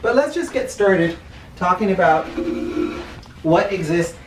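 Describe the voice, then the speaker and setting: A man reads a children's picture book aloud in a roomy, echoey space, a little way from the mic. He has a medium-pitched voice and explains as he goes, slipping into informal asides. Faint noise sits under the recording. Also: lively intonation, measured pace, far from the mic. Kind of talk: read-aloud storytelling with teaching asides.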